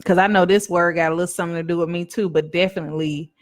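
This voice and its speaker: A woman's voice: on the low side, fairly even intonation, very fast, faint music behind. A woman minister giving a casual live-streamed devotional talk, emphatic and repetitive in a preaching cadence, addressing viewers as y'all.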